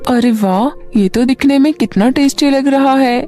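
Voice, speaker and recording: An eager, kind-hearted little schoolgirl from a storybook, voiced by a woman, speaks politely in a high, childlike voice. Music plays underneath. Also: normal speed, dark tone, natural voice. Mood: curious.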